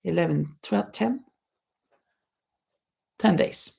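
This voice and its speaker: Slow-talking Norwegian woman with a gravelly voice. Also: on the low side, a little mellow. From Norway. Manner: chatty, laughs often, restarts words. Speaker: livestream host. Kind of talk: casual chat reading viewer comments. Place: home, near a terrace.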